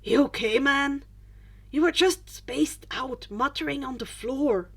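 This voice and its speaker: A woman's voice: sits high, slow pace, creaky, a bit bright, heavily acted. A woman voicing a friendly, concerned teenage boy classmate in a storybook reading.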